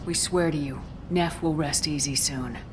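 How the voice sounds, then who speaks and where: A resolute female commander, voiced by a game actress. Her voice is low, controlled and direct, with a businesslike manner. There is clear noisy ambience in the background. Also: moderate pace, lightly acted. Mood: reassuring. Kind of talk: dramatic video game acting.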